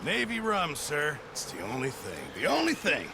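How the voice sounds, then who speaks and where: Jovial elderly male camp butcher, creaky, lively swooping delivery, eager to please, western game. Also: normal speed, heavily acted. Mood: merry. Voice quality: gravelly. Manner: chummy, deferential, excitable. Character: jovial, boozy old camp cook and butcher. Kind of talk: video game cutscene acting. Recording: noisy outdoor ambience, rumble behind.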